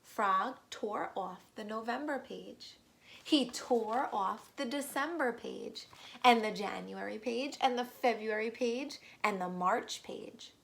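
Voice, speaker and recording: Slow, slightly creaky woman reading to children. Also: a little high. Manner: expressive, child-directed, pausing for answers. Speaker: read-aloud storyteller for young children. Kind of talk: storybook narration with questions to young listeners.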